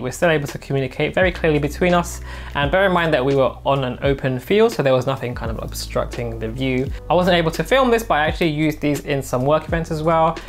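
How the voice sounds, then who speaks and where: A man, presenting a product review to camera in a small home studio. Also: fairly high pitch. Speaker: tech reviewer and host. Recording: music bed under the voice. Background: background music.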